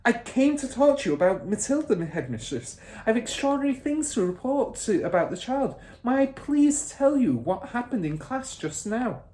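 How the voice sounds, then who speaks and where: A man puts on an earnest, flustered young-hearted schoolmistress from a children's storybook. Her voice is raised high above his own, pleading and protesting, with lively swings in pitch. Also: moderate pace, hardly any pauses. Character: earnest, flustered, gentle female primary school teacher. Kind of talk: children's storybook character reading.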